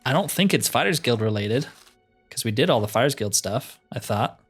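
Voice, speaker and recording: A man's voice: medium pitch, animated, normal speed, breathy edge, faint music behind. A man giving casual gameplay commentary in a laid-back way, musing to himself as he plays. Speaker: gaming streamer.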